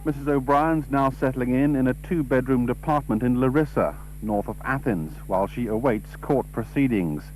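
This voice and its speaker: Man, news reporter, scripted narration, mid-range pitch, old broadcast with faint background noise. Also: normal speed, hardly any pauses. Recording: noisy old TV broadcast, steady hum.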